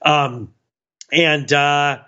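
A man co-hosting a podcast in casual chat, talking in a rambling, animated, wryly self-deprecating way. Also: fairly high pitch, very slow, clean tone, lo-fi sound. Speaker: podcast co-host.

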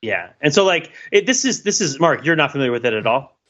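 Bright-voiced rambling man, muffled audio. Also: fairly high pitch, big pitch swings, quick pace. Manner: rambling, lots of restarts and fillers. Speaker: podcast co-host. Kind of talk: casual discussion.

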